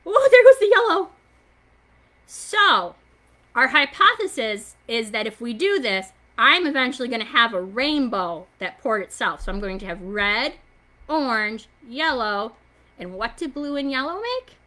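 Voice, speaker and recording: A woman with a fairly high, very bright voice leads a science activity for young children. She sounds like an excitable, encouraging story time host, speaking simply and directly to her little viewers. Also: unhurried.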